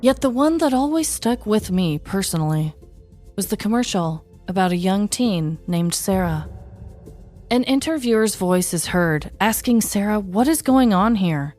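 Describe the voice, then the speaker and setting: A woman's voice: mid-range voice, a little mellow. An American woman in her thirties narrating a scripted comedic story as a podcast host, with a wry, dramatic delivery. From United States. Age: 30s.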